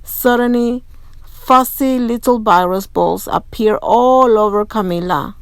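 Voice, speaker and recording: A woman reading a children's story aloud in an expressive storytelling style. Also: on the high side, a little slow, smooth, no echo. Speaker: storybook reader. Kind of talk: children's read-aloud narration.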